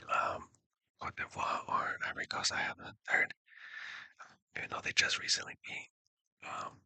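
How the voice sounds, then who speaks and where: A man whispers casually through his sports predictions. His voice is airy and husky with a crisp, sharp edge, very close and dry. He rambles a little, hesitating and correcting himself as he goes. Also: no room sound. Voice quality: whispered, breathy. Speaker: sports video creator.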